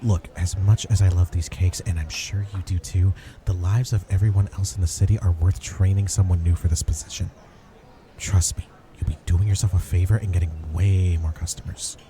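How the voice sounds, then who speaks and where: A man's voice: sits low, steady tone, moderate pace, crowd noise, lightly acted, rough recording. A man playing an earnest, sarcastic superhero in a comedic ASMR roleplay, talking straight to the listener with grudging, wry concern. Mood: earnest warning. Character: earnest, wry male superhero griping at a cafe.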